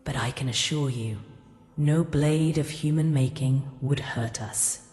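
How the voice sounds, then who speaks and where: An actress playing an ancient, imperious goddess in a fantasy audio drama, speaking in a solemn, regal, formal way. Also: female voice, very deep voice, a little slow, a little mellow. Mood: regal, measured. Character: ancient imperious goddess in a queen's body, ghostly, commanding. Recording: cavernous reverb, faint music.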